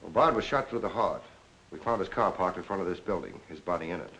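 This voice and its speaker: A steady police detective in a forties crime picture. His voice is on the low side, polite and measured as he probes. A faint hiss sits on the old film sound. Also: full character voice, low-quality recording. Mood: probing, businesslike. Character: steady, businesslike police lieutenant questioning witnesses. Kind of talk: 1940s crime film acting.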